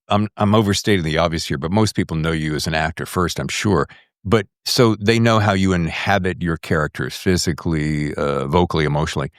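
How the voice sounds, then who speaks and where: A man's voice: deep voice, dry recording, studio quality. A man interviewing a guest as a podcast host, chatting conversationally and acting out quoted remarks as he goes. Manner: animated, acts out quoted remarks.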